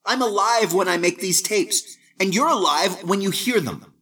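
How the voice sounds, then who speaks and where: A man's voice: high voice, normal speed, slightly rough, recorded from a distance, voice pushed up, heavily acted. A man doing a brash, cruel young man of twenty. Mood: mocking cool. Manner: mocking, lively, brash.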